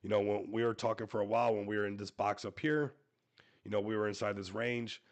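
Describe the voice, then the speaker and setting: A man hosting a casual crypto livestream, hoarse and losing his voice, hyping coins and calling out to viewers. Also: lowish voice, steady tone, fast. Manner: hype-driven, repeats "everybody", talks to viewers. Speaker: crypto show host.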